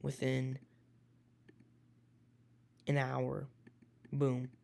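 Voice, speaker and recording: Gravelly-voiced man rambling through forecasts. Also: mid-range voice, completely flat delivery, extremely slow, darkish tone. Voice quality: raspy. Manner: rambling, hesitant, self-correcting. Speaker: amateur weather enthusiast. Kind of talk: casual forecast walkthrough.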